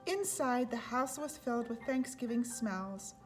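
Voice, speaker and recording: A woman's voice: on the high side, animated, measured pace, faint music behind. A woman reading a children's picture book aloud as a storyteller.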